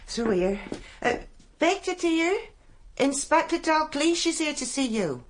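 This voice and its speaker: An actress playing a flustered, deferential wife in a radio drama, hesitant and fluttery. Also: female voice, high voice, very animated, measured pace, a little hiss or hum. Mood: nervous, deferential.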